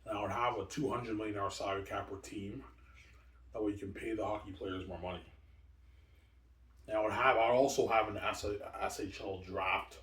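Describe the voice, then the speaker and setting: A man's voice: on the low side, fairly even intonation, a little fast, a bit bright. Rambling man in his forties, echoey room. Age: 40s. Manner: repetitive, stumbling, answers his own questions. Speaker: video host. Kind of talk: rambling monologue. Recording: echoey room, far from the mic.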